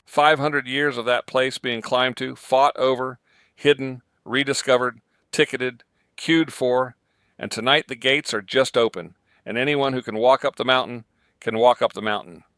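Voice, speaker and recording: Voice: medium pitch, steady tone, normal speed, fairly bright tone, clear, resonant tone, no echo, natural voice. A man playing a reflective, reverent commentator in a scripted radio drama about the world's end. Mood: quiet wonder.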